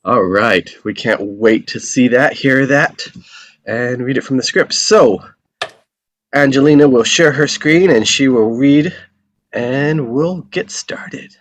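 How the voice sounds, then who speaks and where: A man's voice: medium pitch, slow pace. A man hosting a table read over a video call, upbeat and easygoing. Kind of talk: casual introductions.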